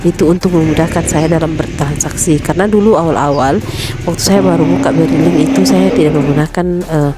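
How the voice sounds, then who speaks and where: A woman's voice: low voice, brisk, very noisy. A woman with a rural Indonesian accent, chatting casually to her viewers about running her small shop, with many fillers and asides to her friends. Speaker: small shop owner running a banking agent. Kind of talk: casual vlog narration.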